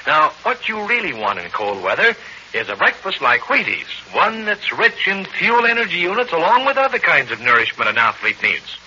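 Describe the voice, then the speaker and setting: A man's voice: fairly high pitch, sing-song, moderate pace, bright, forceful tone, exaggerated voice. An American man announcing on 1940s radio with a projected, hearty, emphatic scripted delivery. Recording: muffled noisy 1940s radio broadcast.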